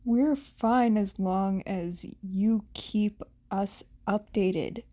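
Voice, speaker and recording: A woman voicing a blunt, dry teenage boy with a deadpan manner in a story reading. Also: mid-range voice, drawn-out words, pitched below the performer's own voice. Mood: flat, reassuring.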